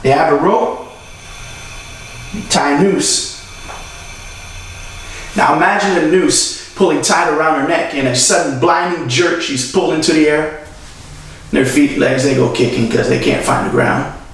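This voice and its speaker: A distant, heavily reverberant recording carries a man performing a young male defense lawyer in a dramatic courtroom monologue. His voice sits a little high. He addresses the jury with earnest, solemn oratory, deliberate and full of feeling. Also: a little hiss or hum. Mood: grave, pained storytelling. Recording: very echoey room, far from mic.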